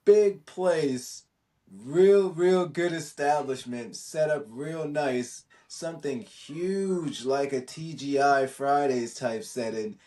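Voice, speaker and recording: A man's voice: fairly high pitch, slow, mellow, recorded from a distance. A man from Jamaica chatting casually and telling stories in a slangy way, often repeating his words and phrases. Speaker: podcast speaker. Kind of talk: casual chat, storytelling.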